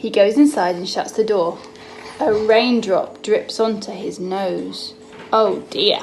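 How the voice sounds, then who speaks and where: A young adult woman reading a story to small children in an expressive, drawn-out way, as host of a children's Bible story time. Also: mid-range voice, creaky, low-quality recording. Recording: noticeable background noise, homemade recording.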